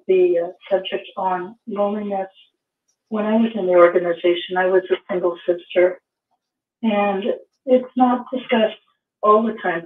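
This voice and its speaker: A woman speaking on a call-in show, hoarse from a cold, talking softly and apologetically. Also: medium pitch. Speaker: co-host. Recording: echoey, distant, phone-like line.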